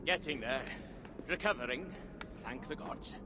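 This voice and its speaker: Man voicing young dwarf, hesitant, uneasy, informal, unhurried delivery, swooping pitch, noisy game ambience. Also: lively intonation, lightly acted. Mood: relieved. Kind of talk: video game cutscene acting.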